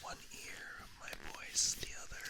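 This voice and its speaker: A man performing whispered ASMR roleplay in a gentle, reassuring, unhurried manner, very close on a binaural microphone.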